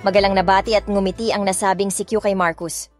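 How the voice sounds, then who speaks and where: Story narrator: Filipino-accented woman, medium pitch, expressive scripted read, faint music beneath. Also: fast talker. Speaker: audiobook narrator. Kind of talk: dramatic first-person scripted narration. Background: soft music.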